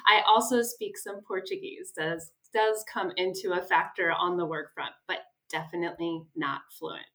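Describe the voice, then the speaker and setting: A woman's voice: on the low side, steady tone, normal speed, fairly bright tone. A middle-aged woman from the American Midwest, an interview guest speaking in an articulate, organized, professional way. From Midwestern United States. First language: English. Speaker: guest, sales executive. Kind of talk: professional self-introduction in an interview.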